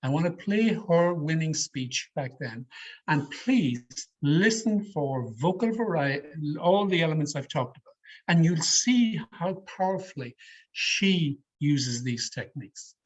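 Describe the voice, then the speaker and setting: Man, speech trainer, fairly high husky voice, emphatic and deliberate, online workshop presentation. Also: lively intonation. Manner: deliberate, emphatic, with demonstrative vocal variety. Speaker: speech trainer giving an educational session. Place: online video call. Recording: slightly muffled, lo-fi video call audio.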